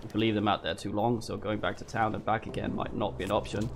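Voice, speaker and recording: A man's voice: fairly low pitch, flat delivery, fast, creaky, a little hiss or hum. A man with a slight sniffle, casually thinking aloud while streaming a game from home. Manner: thinking aloud, rambling and musing. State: a little sniffly from a cold. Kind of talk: casual live gameplay commentary. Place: at home at his computer. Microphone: desk microphone. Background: game music.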